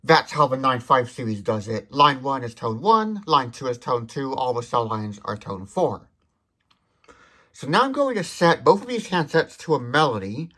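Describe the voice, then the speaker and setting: A man demonstrates a product step by step with a husky, slightly rough voice. His intonation swings widely, and he explains each point methodically and precisely. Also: medium pitch, sing-song, normal speed, pushed and bright. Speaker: tech demonstrator.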